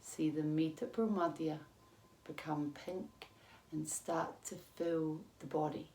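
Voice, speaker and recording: A woman yoga teacher leading a guided relaxation in a calm, measured, soothing manner with long pauses. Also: deep voice. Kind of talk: guided meditation, yoga nidra instruction. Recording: roomy echo with faint background noise.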